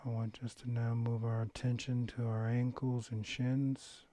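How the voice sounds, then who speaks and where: Male meditation guide, very slow delivery, even intonation, gentle, long pauses. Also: mid-range voice, no room sound. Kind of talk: guided body-scan meditation, calm instruction.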